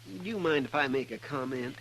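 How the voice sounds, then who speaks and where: An actor playing an anxious, loyal deputy with a folksy in a 1950s radio western. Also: male voice, mid-range voice, brisk, close to an everyday voice. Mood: fretful. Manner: fretful, folksy. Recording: noisy vintage radio broadcast.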